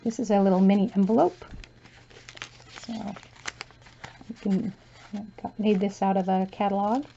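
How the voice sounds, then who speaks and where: Soft-spoken woman, gravelly and mellow. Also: mid-range voice, unhurried, pauses often, lo-fi sound, slight background noise. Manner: soft-spoken, relaxed, with frequent um and uh fillers. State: losing her voice, clearing her throat. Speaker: crafter showing her journal. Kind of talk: casual flip-through commentary.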